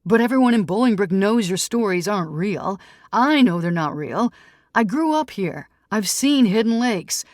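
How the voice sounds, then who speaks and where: A woman's voice: mid-range voice, animated, normal speed, darkish tone, no echo, clean studio sound, pitched above the performer's own voice, lightly acted. A woman reading an audiobook, voicing a defensive, pleading male skeptic writer who argues earnestly. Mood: indignant, protesting. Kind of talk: audiobook character dialogue.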